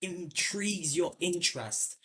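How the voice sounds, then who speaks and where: A man's voice: high-pitched, animated, unhurried, a crowd in the background. A man hosting a casual film-talk podcast, rambling with lots of fillers and restarts. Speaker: podcast host.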